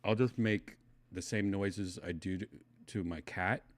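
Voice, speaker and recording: Low-voiced mumbly man with slight lisp. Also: steady tone, unhurried, dry recording. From Wisconsin. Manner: loose, mumbling, trails off into quiet asides. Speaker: livestream host reading chat. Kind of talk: casual rambling chat.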